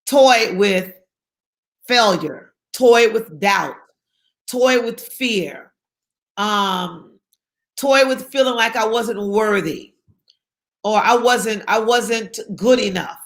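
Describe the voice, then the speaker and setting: Bright, emphatic woman speaker. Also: mid-range voice. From South Carolina. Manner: emphatic, repeats key phrases. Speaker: guest speaker. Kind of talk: motivational interview talk.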